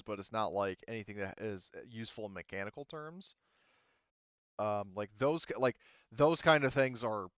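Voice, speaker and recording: A man casually narrating a tabletop roleplaying game as game master, speaking haltingly as he searches for words. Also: fairly low pitch, clean tone, no room sound, lo-fi sound.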